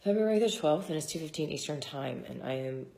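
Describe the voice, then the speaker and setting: Hoarse, low-voiced chatty woman. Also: animated, fairly quick, warm tone. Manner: chatty, rambling with many fillers. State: hoarse from shouting. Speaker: vlogger and mom. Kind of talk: casual chat to camera.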